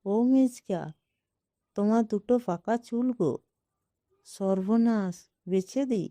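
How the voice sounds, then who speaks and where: A woman's voice: medium pitch, slow pace, dark tone, no room sound, close to an everyday voice. A woman voicing a wily little girl in a storybook, coaxing her uncle in a childlike, wheedling way. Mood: excited.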